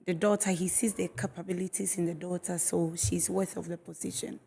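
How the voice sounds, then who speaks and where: A woman taking part in a studio panel as a gospel singer. Her voice is lowish and mellow, and she explains her point slowly and carefully. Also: steady tone, creaky, a little hiss or hum. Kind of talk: studio interview answers.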